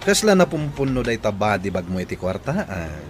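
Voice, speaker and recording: A man's voice: lowish voice, very animated, fairly quick, over music. A man playing a boastful, arrogant rich company boss in an Ilocano audio drama, haughty and taunting. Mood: scornful, gloating.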